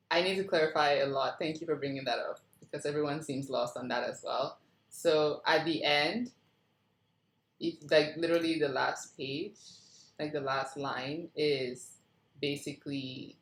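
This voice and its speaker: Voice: mid-range voice, fairly bright tone. A writer leading a casual script feedback discussion, apologetic and inquisitive, keen to clarify things. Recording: roomy, echoing room sound.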